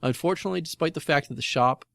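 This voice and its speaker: A man's voice: a little high, racing, creaky, fairly bright tone, no echo, studio quality. A man co-hosting a casual gaming podcast, chatting and reading aloud. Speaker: podcast co-host. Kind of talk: casual podcast chat and reading listener email.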